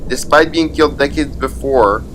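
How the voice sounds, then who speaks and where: Man reading a formal log over rumbling noise. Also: normal speed, fairly bright tone. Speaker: channel narrator reading a document aloud. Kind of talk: scripted narration of a fictional archive log. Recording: clearly noisy recording with low rumble.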